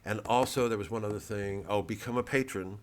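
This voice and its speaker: Rambling, stammering man, low voice. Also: brisk. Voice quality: rambling, stammering restarts, swears freely. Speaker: comedian. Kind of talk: casual talk to camera.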